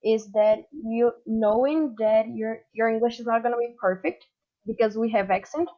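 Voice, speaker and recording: A woman's voice: on the high side, fairly even intonation, normal speed. Earnest Brazilian woman on muffled audio. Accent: Brazilian Portuguese. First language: Portuguese. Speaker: English student guest. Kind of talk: casual podcast chat.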